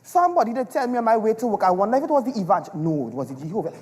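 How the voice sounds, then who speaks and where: Selfish Trinidadian family man played by a male stage actor, blustering, animated pitch, dialect comedy, reverberant hall. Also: rapid-fire. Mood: grumbling, sly. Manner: exasperated, exclamatory, dramatic. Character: selfish, rum-loving husband and father, blustering and evasive. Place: large performance hall, on stage. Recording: echoey hall with long reverb.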